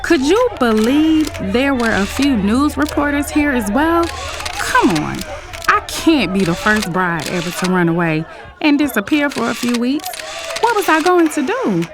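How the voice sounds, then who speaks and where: A woman playing a carefree young runaway bride, a billionaire's daughter, telling her story in an expressive, conversational audio drama style. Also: high voice, unhurried, noisy background, exaggerated voice. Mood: breezy, blissful. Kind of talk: first-person audio drama narration and dialogue.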